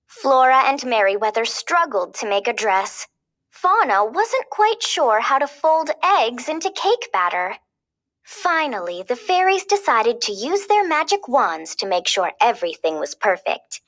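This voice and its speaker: Animated, unhurried woman storyteller. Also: on the high side. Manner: expressive, clearly paced. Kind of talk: children's storybook read-aloud.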